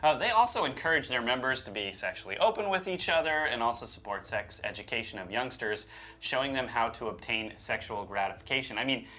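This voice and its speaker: A man's voice: medium pitch, expressive, brisk, bright, forceful tone. A man co-hosting a show, mixing scripted narration with informal, incredulous asides. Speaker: show host. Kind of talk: conversational co-hosted scripted narration with casual asides.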